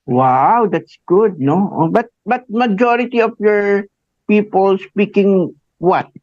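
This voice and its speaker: A Filipino man in his forties hosting a radio interview, speaking haltingly with many fillers and a tagged "no?". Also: sits high. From Philippines. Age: 40s. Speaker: radio host. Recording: muffled dry radio studio sound.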